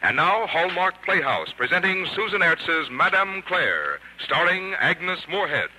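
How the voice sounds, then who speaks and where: A man's voice: sits high, sing-song, a little slow, background noise. A man as a polished, emphatic announcer reading copy on 1950s radio. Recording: old 1950s radio broadcast.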